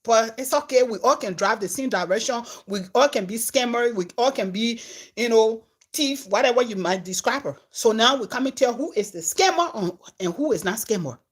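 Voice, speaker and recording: A woman with a Liberian accent tells a story to her followers. Her voice sits a bit high and swings from loud bursts to hushed asides. She talks in a casual, animated way. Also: moderate pace, slightly rough. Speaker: comedian and content creator.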